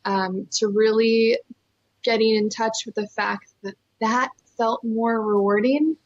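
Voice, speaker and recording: A woman's voice: a little high, steady tone, slow pace, lo-fi sound. A woman, telling her story as an interview guest in an earnest, enthusiastic, emphatic way. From California, born in Costa Rica. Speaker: interview guest, nonprofit fundraiser.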